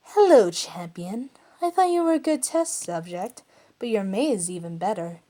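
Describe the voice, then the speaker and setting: A woman reader plays a wicked alien witch from a fan fiction story. She gloats, laying out each taunt with deliberate relish. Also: medium pitch, expressive, mellow, close to an everyday voice. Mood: gloating.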